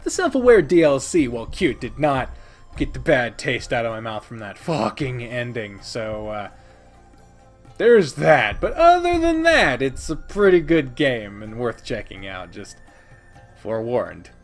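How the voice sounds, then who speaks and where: A man's voice: mid-range voice, wildly swooping pitch, unhurried, faint music behind. A man hosting a gaming channel, giving casual, opinionated commentary with emphatic delivery and frequent swearing. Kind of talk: casual ranked-list video commentary.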